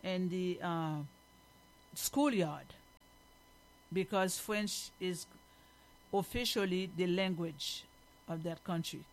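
A woman's voice: lowish voice, steady tone, slow pace, long pauses, poor audio. Emphatic woman with a Haitian accent. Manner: emphatic, opinionated. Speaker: host. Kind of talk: reading news aloud with spoken commentary.

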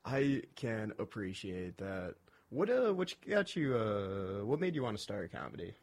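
A man's voice: lowish voice, very animated, a little slow. A man hosting a casual interview, asking questions with dry, self-deprecating humor. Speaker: interview host.

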